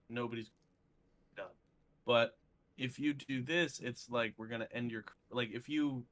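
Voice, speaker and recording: A man in a casual, opinionated discussion, speaking haltingly and repeating phrases for emphasis. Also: medium pitch, a bit bright.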